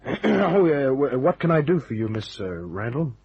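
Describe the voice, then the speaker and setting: A hardboiled private eye from a radio crime drama. He is tough and sardonic, delivering clipped wisecracks at a middling pitch. The recording is dull and lo-fi with faint noise. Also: sing-song, moderate pace, darkish tone. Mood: cool, businesslike.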